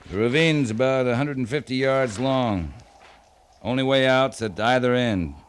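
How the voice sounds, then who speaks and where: An actor playing a seasoned, decisive American cavalry captain who gives clipped, authoritative orders in a 1950s radio western. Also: male voice, mid-range voice, moderate pace, natural voice. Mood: firm, methodical. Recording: noisy 1950s radio broadcast recording.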